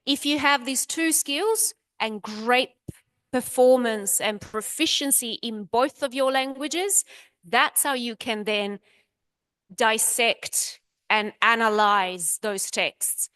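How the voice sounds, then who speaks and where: A woman speaks frankly and emphatically as a panel lecturer. Her fairly high voice is dry and close to the mic. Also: slow, fairly bright tone, smooth, no room sound. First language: Italian. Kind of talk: confident panel answer.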